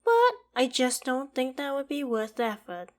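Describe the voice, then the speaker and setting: A woman playing an ancient, haughty dragon in a theatrical ASMR roleplay, with deliberate, imperious phrasing. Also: sits high, sing-song. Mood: menacing, gloating. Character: ancient female dragon, haughty, menacing, curious about humans. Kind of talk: ASMR roleplay, dramatic monologue to the listener.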